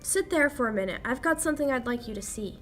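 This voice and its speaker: A woman's voice: sits high, expressive, fast talker, soft background music. A young woman acting a detective's feisty, devoted sidekick in 1940s radio style, earnest and coy. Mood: matter-of-fact. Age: young adult.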